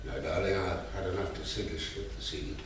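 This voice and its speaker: A man's voice: deep voice, fast talker, lightly acted. A man playing a fond, stubborn, wry old grandfather in a staged play reading. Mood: fond, reassuring. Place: small theatre, staged reading. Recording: echoey theatre, distant mic, faint noise.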